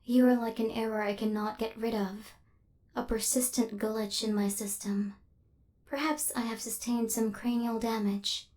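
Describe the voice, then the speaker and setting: Casting: woman to play female assassin android, higher register, imperious and icy, formal machine-like diction, secretly flustered, ASMR audio drama. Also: a little mellow, natural voice. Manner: formal, clinical, stilted robotic phrasing. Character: female killer robot hunter, cold, haughty, secretly flustered. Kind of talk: ASMR roleplay.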